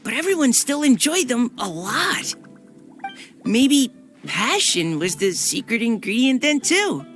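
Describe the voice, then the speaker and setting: A woman's voice: fairly high pitch, big pitch swings, unhurried, a bit bright, soft background music, exaggerated voice. A woman playing an earnest, curious and modest young expedition member in a video game. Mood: reflective. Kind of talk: video game cutscene acting.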